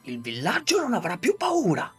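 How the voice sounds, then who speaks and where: A kind-hearted male cocker spaniel speaks softly with a lilting lift, as a woman narrator puts him on. His voice is breathy and tender, a loyal storybook friend. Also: medium pitch, wildly swooping pitch, moderate pace, soft background music, heavily acted. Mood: gentle.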